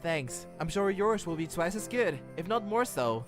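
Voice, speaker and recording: A man's voice: high-pitched, very animated, normal speed, over music. A young man playing a kindly, eager, slightly awkward college student in a fan audio drama, earnest and friendly. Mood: sympathetic. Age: young adult.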